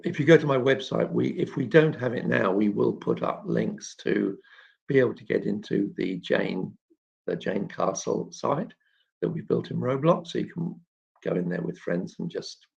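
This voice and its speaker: A man hosting an informal live question-and-answer chat, explaining things in a halting way and often clearing his throat. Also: medium pitch, darkish tone. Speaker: children's author and illustrator hosting a live chat. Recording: dull, muffled lo-fi live stream.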